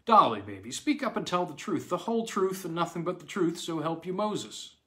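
A man's voice: high-pitched, lively intonation, a little fast, bright, forceful tone, pitched above the performer's own voice. A man voicing an easygoing father who soothes and jokes with his family. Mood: soothing. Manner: soothing, joking.

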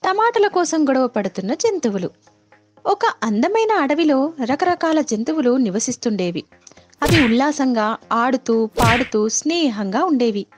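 A woman storyteller reading scripted moral animal tales for children. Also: sits high. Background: faint music.

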